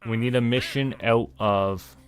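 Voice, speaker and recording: A man's voice: medium pitch, steady tone, measured pace, clean tone, soft background music. A man with a Canadian accent doing casual solo gameplay commentary as a streamer, musing to himself as he goes. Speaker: game streamer.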